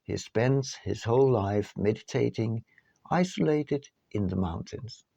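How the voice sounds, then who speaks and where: A man narrating an audiobook from a script in a measured, deliberate way with emphatic phrasing. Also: fairly low pitch, expressive, gravelly, mellow, no echo. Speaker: author reading his own book.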